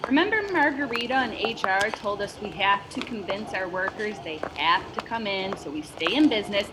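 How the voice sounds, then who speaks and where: A woman's voice: medium pitch, animated, brisk, noisy background, close to an everyday voice. A woman playing a pragmatic, cynical meatpacking boss in a satirical radio play, dry and mock-earnest. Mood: resigned.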